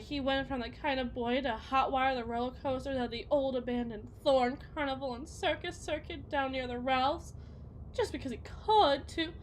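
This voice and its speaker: A woman playing a bitter, self-loathing wife and mother in an ASMR roleplay, speaking in a theatrical, halting, rambling lament. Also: high-pitched, a little hiss or hum.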